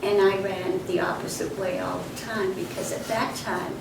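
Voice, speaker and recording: A woman's voice: mid-range voice, fairly even intonation, moderate pace, gravelly. An older woman telling her life story in an oral history interview, reflective, with fillers and restarts. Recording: roomy, distant mic, loud noise.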